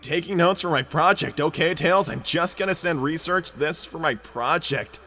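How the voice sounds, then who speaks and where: A man's voice: on the high side, normal speed, pushed and bright, long reverb tail, a little hiss or hum. A man voicing a laid-back young cartoon hedgehog hero, casual and placating in improvised comedy. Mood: easygoing. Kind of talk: improvised in-character comedy livestream.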